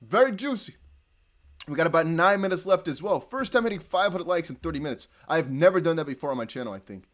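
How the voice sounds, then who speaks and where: A young man with a Dutch accent hosting a casual livestream, reading chat aloud and breaking into excited whoops. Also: a little high, fast talker. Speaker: crypto livestream host.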